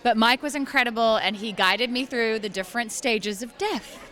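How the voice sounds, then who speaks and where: Bubbly, bright-voiced woman actress. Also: fairly high pitch. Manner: bubbly, chatty, quick to laugh. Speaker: voice actress and singer. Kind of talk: convention interview.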